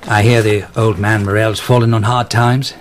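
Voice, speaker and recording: A man's voice: lowish voice, little pitch movement, moderate pace, a little hiss or hum, natural voice. An actor playing a nervous, obliging male records clerk who stammers and hesitates in a radio drama.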